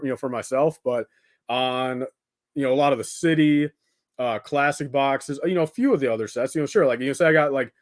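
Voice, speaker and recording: A man's voice: medium pitch, no room sound. A young man talks casually to his livestream viewers. His delivery is unscripted and wandering, sprinkled with informal address like dude and man. The sound is dry, with very little room to it. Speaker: live streamer hosting a building stream.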